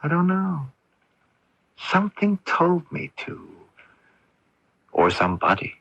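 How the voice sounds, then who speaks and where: Husky, troubled young son. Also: male voice, on the high side, big pitch swings, slow pace, a bit bright, no room sound, exaggerated voice. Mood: dreamy, pensive. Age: young adult. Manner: hesitant, wondering. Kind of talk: radio drama acting. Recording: muffled, dry AM radio tape.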